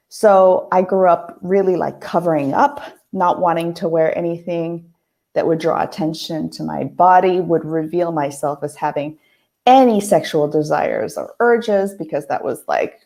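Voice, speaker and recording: A woman hosting a casual heart-to-heart monologue, a little hoarse, talking thoughtfully with many pauses and restarts. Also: sits low, mellow. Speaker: host. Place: family house, at night.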